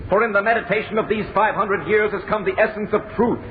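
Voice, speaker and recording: A fervent young man played by a male actor declaims like a revolutionary at a rally, insistent and rhetorical. His voice is high for a man. The old radio recording is thin, with faint noise. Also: fairly even intonation, fast, phone-quality sound, natural voice. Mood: solemn, resolute. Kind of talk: old-time radio acting, political speech.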